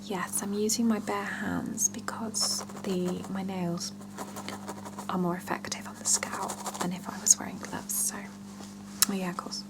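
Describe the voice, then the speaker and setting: A woman performs an ASMR roleplay as a salon attendant, speaking to her client in a breathy whisper that slips into soft voicing. Her delivery is very slow, gentle and reassuring, with murmured pauses and a creaky edge. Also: medium pitch, fairly even intonation, low-quality recording. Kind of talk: ASMR roleplay, one-sided chat with a client.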